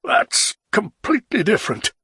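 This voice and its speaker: A man voicing a moody, blustering middle-aged nobleman who draws out his words and sometimes shouts. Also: high voice, wildly swooping pitch, creaky, slightly rough, no room sound, studio quality, pitched above the performer's own voice, full character voice. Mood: scornful. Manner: loud, drawn-out, exclamatory delivery, sometimes shouting.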